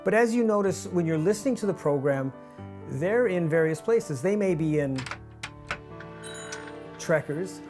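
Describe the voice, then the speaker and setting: A man in his fifties with a North American accent presents a scripted behind-the-scenes explainer in a measured, clear manner. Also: a little high, animated, a little mellow, soft background music. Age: 50s. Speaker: radio drama director and presenter. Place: small indoor studio office with monitors. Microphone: lavalier on shirt.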